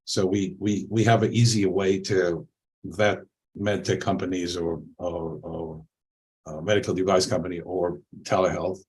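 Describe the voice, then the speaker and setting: Low husky-voiced man on a call. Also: unhurried, poor audio. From Israel. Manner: relaxed, conversational, many fillers. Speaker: panel guest, angel investor. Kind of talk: panel discussion over video call. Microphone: video call.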